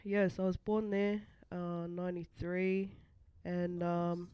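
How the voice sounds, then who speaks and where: A woman's voice: fairly low pitch, takes it slowly, clean tone, dry recording. Young woman, podcast guest, casual, hesitant, lots of fillers. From born in New Zealand, raised in Australia. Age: 20s. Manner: relaxed, hesitant storytelling with many fillers. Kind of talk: casual chat.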